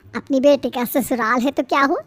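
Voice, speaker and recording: A woman's voice: sits high, moderate pace, voice pushed down, close to an everyday voice. A woman voicing a greedy, shameless middle-aged mother who schemes and gloats, in a moral-story cartoon. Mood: greedy, gloating. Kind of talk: animated moral-story acting.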